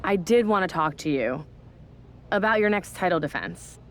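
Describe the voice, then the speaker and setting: A woman's voice: lowish voice, animated, normal speed, slight background noise, natural voice. A woman voicing a smug, scheming female wrestling general manager in a video game cutscene, talking down with sarcasm. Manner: condescending, sarcastic.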